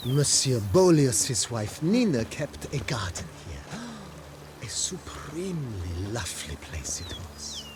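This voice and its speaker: Formal, lilting estate majordomo. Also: male voice, mid-range voice, wildly swooping pitch, slow, background noise. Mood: storytelling, wistful. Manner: formal, precise, politely ornate. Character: courteous, formal, proud hereditary majordomo of a vineyard estate. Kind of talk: scripted game dialogue, giving a guided tour. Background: music.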